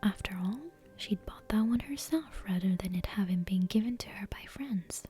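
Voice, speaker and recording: A woman whispering a fantasy bedtime story in a breathy, gentle, careful ASMR read-aloud style. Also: medium pitch, normal speed.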